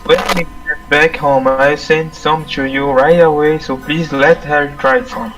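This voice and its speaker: A solicitous middle-aged family man, voiced by a male reader. He sounds gravelly and kindly, slightly distant, with music clearly playing behind. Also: fairly high pitch, measured pace, fairly bright tone.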